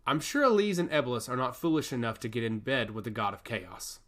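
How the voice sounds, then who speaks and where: A man playing a calm, measured king and father in a fantasy audiobook. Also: mid-range voice, natural voice. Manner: measured, unruffled.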